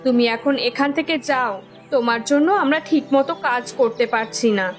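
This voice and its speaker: A woman's voice: sits high, normal speed, faint music behind, lightly acted. A woman voicing a stubborn, resourceful young village wife in a cartoon, speaking insistently and defiantly. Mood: firm. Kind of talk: Bengali village cartoon dubbing.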